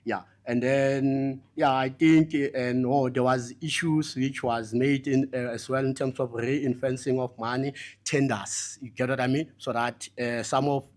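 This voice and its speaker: A man's voice: mid-range voice, steady tone, darkish tone. A man giving a spoken report at a conference, very hesitant, with many fillers and broken phrases. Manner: very halting, heavy hesitation, repeated verbal fillers. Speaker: summit delegate reporting back for a group.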